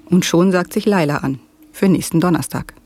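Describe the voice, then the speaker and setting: A man playing a wry band storyteller in a radio drama, narrating with dry, knowing asides and a creaky voice. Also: sits high, moderate pace, lightly acted. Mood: dryly amused. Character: wry male band member narrating rehearsal stories. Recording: loud music under the voice.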